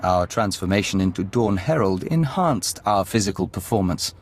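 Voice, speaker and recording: Insect-bonded diplomat, game character, formal, composed, fairly deep, faint background ambience. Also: male voice, lively intonation, slight background noise. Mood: calm, formal. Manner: measured, formal. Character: calm diplomat man joined to an insect hive mind. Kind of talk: video game voice acting.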